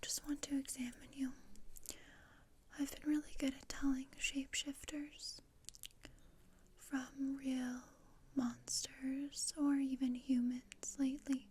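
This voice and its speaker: A woman playing a morbid, scheming, deadpan teenage girl in a whispered ASMR roleplay, with a measured, dryly macabre manner. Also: high-pitched, slight background noise. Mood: coolly scrutinizing.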